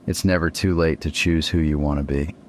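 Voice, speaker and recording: An actor playing a middle-aged dead father heard in his daughter's head, measured and gently reproving, in an audio drama. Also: rumbling low, fairly even intonation, a little hiss or hum. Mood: grave, cautioning. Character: dead father's imagined voice, measured, admonishing, paternal.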